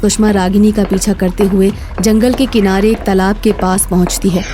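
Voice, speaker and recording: A woman's voice: medium pitch, steady tone, moderate pace, mellow, slight background noise. A woman narrating a scripted story as its storyteller.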